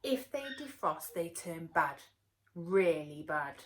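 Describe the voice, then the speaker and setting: A woman teacher talking to young children and reading them a story aloud in an expressive way. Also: low-pitched, takes it slowly. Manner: expressive, addressing small children. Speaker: early years teacher.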